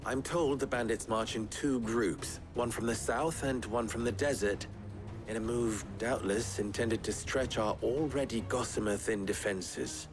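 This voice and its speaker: An actor playing an eloquent, wry, calculating town schemer in a video game, speaking in florid, measured phrases with dry wit. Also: male voice, medium pitch, music in the background, close to an everyday voice. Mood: urgent, resolute. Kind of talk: video game cutscene acting.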